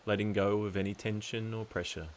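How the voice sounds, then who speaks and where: Male meditation guide, low register, calm and deliberate with long pauses, faint hiss on the recording.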